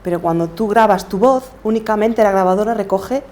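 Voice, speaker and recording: Mellow middle-aged Galician woman. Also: medium pitch, fairly quick. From Galicia, Spain. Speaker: podcaster and coach, interview guest. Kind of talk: reflective interview conversation.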